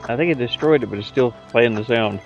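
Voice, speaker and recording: A man's voice: medium pitch, fast talker, highs cut off, faint music behind. A man thinking aloud in casual live-stream chat, muttering informally to himself as he works. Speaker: streamer and hobby game developer.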